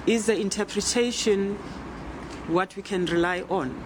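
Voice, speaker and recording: A woman's voice: medium pitch, a little slow, noisy background. A woman presiding as judge, patient but firm as she questions a witness about her language. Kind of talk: presiding over a trial.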